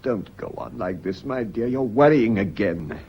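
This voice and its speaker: An agitated, defensive husband in an old radio play. His voice is very husky and swings widely, urgent and exasperated, from low muttering to outbursts. The recording is somewhat dull and lo-fi. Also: lively intonation, a little slow. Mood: defensive, weary. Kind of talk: old-fashioned British radio drama acting.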